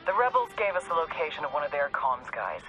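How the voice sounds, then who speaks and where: An actress playing a brisk, authoritative, matter-of-fact intelligence handler giving orders in a video game. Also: female voice, sits low, sing-song, noisy background. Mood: businesslike. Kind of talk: video game mission briefing. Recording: music behind.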